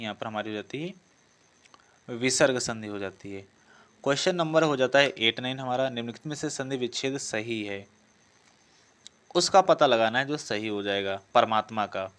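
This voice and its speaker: A man's voice: mid-range voice, a bit bright, clean tone. A man explaining practice exam questions in a lesson. He reads each option aloud, confirms it, and corrects himself as he goes. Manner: self-correcting, repetitive phrasing. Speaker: exam coaching teacher.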